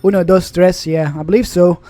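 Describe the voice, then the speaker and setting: A man's voice: high-pitched, steady tone, brisk, mellow, faint music behind. A young man whose first language is Dutch, casually commenting on an online card game in a chatty, rambling way, often correcting himself. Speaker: gaming channel host.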